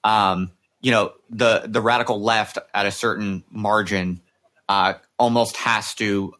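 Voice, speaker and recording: A man's voice: lowish voice, steady tone, a little slow, a bit bright. A man hosting a conversational interview, earnestly working through long, wordy, reflective questions. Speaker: interviewer, podcast host.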